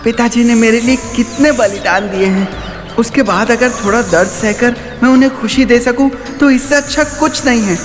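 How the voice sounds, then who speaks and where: A woman plays an eager young elephant son in a cartoon. The voice is high and childlike, earnest and affectionate, with music playing clearly underneath. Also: normal speed, natural voice. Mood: devoted. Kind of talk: Hindi cartoon voice acting.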